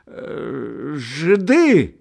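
A man voicing a drunk young officer telling a story loudly and gloomily. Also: fairly high pitch, wildly swooping pitch, drawn-out words, gravelly, pitched above the performer's own voice, heavily acted.

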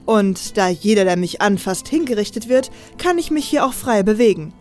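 A woman's voice: mid-range voice, expressive, normal speed, a little mellow, faint music behind, lightly acted. A woman playing a confident, teasing female assassin with a mocking manner in an audio drama. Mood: confident, matter-of-fact. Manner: mocking, playful, self-assured. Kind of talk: German fan audio drama acting.

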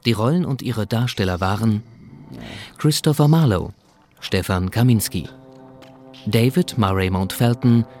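A man announces a radio play in scripted fashion. His voice sits fairly low and he reads each credit at a very measured, slow pace. Soft music plays underneath.

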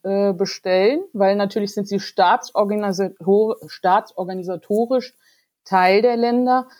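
A woman lawyer guesting on a podcast, giving measured, formal explanations with some hesitations. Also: mid-range voice, a little mellow. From Germany, grew up in Hesse. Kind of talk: explaining in an interview. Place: remote call.

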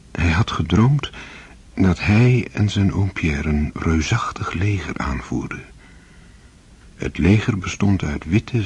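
A solemn storyteller narrating a radio play. His voice is deep and very husky, and he reads slowly with measured, literary phrasing. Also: a little mellow, exaggerated voice. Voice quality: deep, breathy. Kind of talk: scripted radio drama narration.

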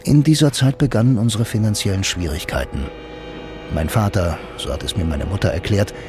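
Guy acting a laconic blind man telling his noir story, low voice, dry deadpan, music under it. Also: close to an everyday voice. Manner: deadpan, dryly ironic. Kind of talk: scripted first-person radio play narration. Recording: music behind the voice.

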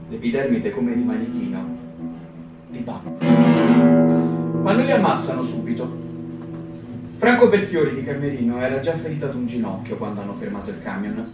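Man reading slowly over guitar, roomy. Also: medium pitch. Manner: slow, broken phrasing with long dramatic pauses. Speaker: performer in a staged reading. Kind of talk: dramatic theatrical reading. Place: radio studio or stage. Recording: roomy radio broadcast with guitar music, from far off.

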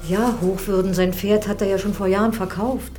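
A woman acts out a village housekeeper for a radio drama. She is plain-spoken, deferential yet blunt, in a mid-pitched female voice. Music is clearly audible behind her. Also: fairly even intonation, a little fast, mellow, natural voice. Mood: plain, reporting.

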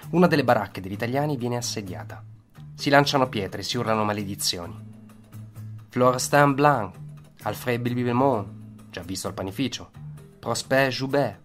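A man narrating a scripted historical account for radio in a solemn, dramatic manner, with short, deliberate phrases. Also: on the low side. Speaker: storyteller, narrator. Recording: radio narration over musical soundtrack. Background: instrumental soundtrack with guitar.

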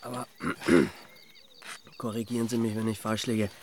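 An actor playing a grumpy, sceptical amateur sleuth in a radio drama, with an Austrian accent and a dry, sardonic way of talking. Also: male voice, on the low side, slow, a little hiss or hum. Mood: curious, dry. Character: grumpy, sceptical male city man, reluctant amateur sleuth.

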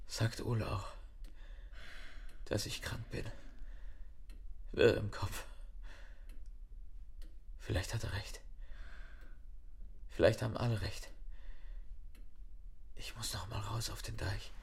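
An actor playing a proud, feverish dikemaster who broods haltingly and often half-whispers, in a radio drama. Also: male voice, on the low side, fairly even intonation, gravelly, husky, heavily acted. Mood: feverish, weary. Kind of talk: German radio drama acting.

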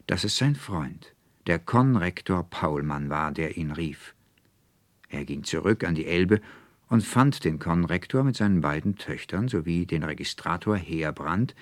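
A man narrating a literary fairy tale as an audiobook, in a measured, expressive and deliberate manner. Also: deep voice, no room sound.

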